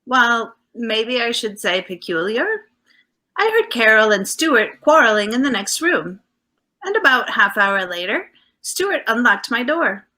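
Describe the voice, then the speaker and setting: Female actor as coy, affectionate detective's wife, radio play, higher voice, lilting animated delivery. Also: a little slow, full character voice. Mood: coy, composed. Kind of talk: 1940s radio drama acting.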